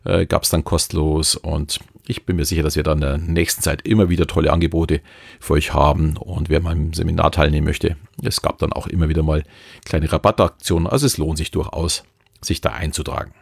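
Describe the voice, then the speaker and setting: Deep, gravelly Bavarian man. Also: steady tone, a little fast, no echo, studio quality. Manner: rambling, loose and chatty with many fillers. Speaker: podcast host and hypnotist. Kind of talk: casual podcast talk.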